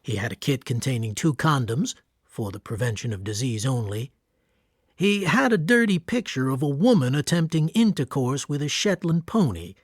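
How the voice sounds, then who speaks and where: A man narrating a radio drama from a script in a measured, wry, literary way. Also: medium pitch, big pitch swings, husky, no echo, clean studio sound.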